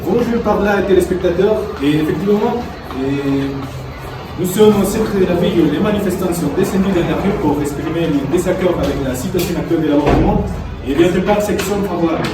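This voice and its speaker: Field reporter for news skit, played by a man: high male voice, heavy echo, music underneath. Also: a little fast, crowd noise, lightly acted. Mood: formal, reporting. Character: male field reporter covering a street protest. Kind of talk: amateur news dramatisation. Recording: very echoey, distant mic, background music.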